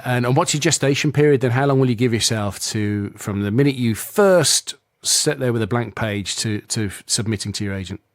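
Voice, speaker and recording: A man's voice: medium pitch, brisk, hardly any pauses, no room sound, clean studio sound. A man hosting a radio interview, asking friendly, rambling questions and affirming often. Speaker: radio host.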